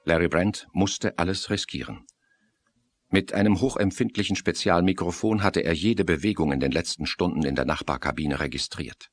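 A man's voice: low voice, moderate pace, dry recording. A man giving scripted, dramatic narration for a German thriller audio drama.

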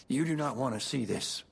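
Husky older frontier doctor. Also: male voice, mid-range voice, heavily acted. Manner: measured, matter-of-fact. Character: brisk, matter-of-fact older frontier town doctor. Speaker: town doctor character. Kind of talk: video game cutscene acting.

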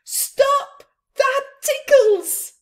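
A woman acts out an anxious male camel from a picture book, pitched high and plaintive. He sounds self-conscious and easily flustered, halting as he goes. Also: animated, exaggerated voice. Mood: ticklish, excited.

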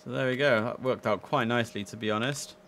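A man's voice: medium pitch, fairly even intonation, quick pace, a bit bright, a little hiss or hum. A young man chatting casually and easily as he hosts a live gaming stream, speaking into a headset.

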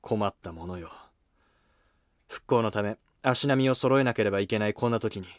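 Man playing a senior court minister, low pitch, grave, weary, formal, historical drama, background music. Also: dry recording, studio quality, lightly acted. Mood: troubled. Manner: measured, formal, authoritative.

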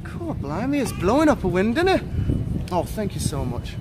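A man's voice: high-pitched, huge pitch swings, normal speed. A young man streaming live on a city street, joking and chatting with strangers on a handheld camera. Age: young adult. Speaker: IRL streamer. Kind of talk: casual street livestream banter. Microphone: handheld camera at arm's length. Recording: outdoor street noise.

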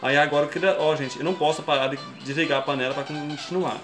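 Young brazilian guy doing a cooking tutorial, kinda high voice, talks you through every step, echoey kitchen. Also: steady tone, fast. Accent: Brazilian Portuguese. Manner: chatty, explains each step and keeps addressing viewers as folks. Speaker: cooking show host. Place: home kitchen, indoors. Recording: echoey kitchen with background music.

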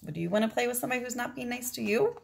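Female storyteller, 40s, slightly high pitch, deliberate dramatic picture-book read-aloud with asides to young listeners. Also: gravelly, slight background noise. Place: indoors, small room at home.

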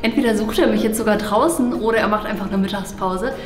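A woman's voice: mid-range voice, fast, noisy background. A young woman hosting a prank vlog, talking casually and playfully to camera. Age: young adult. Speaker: prank show host. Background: music.

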